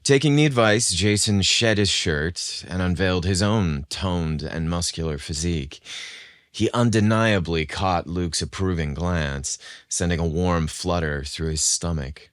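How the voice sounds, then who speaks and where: Deep male narrator, scripted romance storytelling, dry close recording. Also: a little slow, fairly bright tone, no echo. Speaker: audio fiction narrator.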